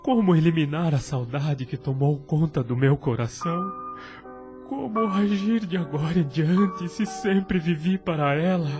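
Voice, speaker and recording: A man's voice: a little high, a little slow, muffled recording, over music, close to an everyday voice. A man playing a devoted, grieving widower in an audio drama, earnest and emotional in his delivery. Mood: grief, shock. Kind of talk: melodramatic audio drama acting.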